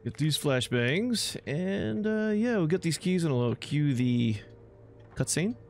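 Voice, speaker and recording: A man's voice: on the high side, lively intonation, slow pace, a little hiss or hum. A man giving casual, chatty, informal commentary while playing a video game. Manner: laid-back, chatty, informal. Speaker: gameplay video host. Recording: game sounds under the voice. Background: video game ambience and effects.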